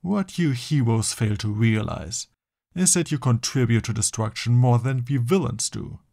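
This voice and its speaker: A man's voice: mid-range voice, moderate pace, vocal fry, warm tone. A man voicing a grandiose, scornful supervillain, declaiming a theatrical monologue with contempt in an audio roleplay. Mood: scornful, menacing. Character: grandiose male supervillain, menacing, scornful of heroes.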